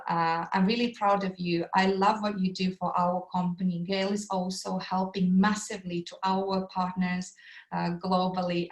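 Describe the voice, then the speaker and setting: A woman's voice: lowish voice, echoey room. A woman with a Latvian accent giving an enthusiastic online sales presentation over a video call, with frequent um and uh fillers. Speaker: webinar presenter.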